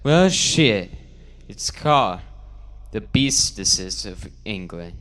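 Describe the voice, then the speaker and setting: A man voicing an old male farm pig elder in a parody storybook, a solemn visionary with declamatory, drawn-out, slang-heavy speech. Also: on the low side, big pitch swings, a bit bright, pitched below the performer's own voice, exaggerated voice. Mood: solemn, proud. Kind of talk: parody storybook character reading.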